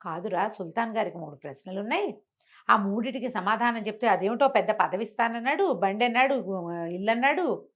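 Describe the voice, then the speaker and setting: A woman's voice: medium pitch, lively intonation, a little fast, lo-fi sound, lightly acted. A woman storyteller voicing a worried, defeated male cleric in a folk tale. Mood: dejected, insistent.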